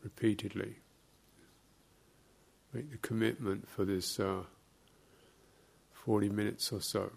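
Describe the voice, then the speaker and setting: A man with a gravelly, fairly low voice leads a guided meditation. His delivery is very slow and measured, broken into short phrases with pauses, as a teacher giving instruction. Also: steady tone, a little mellow.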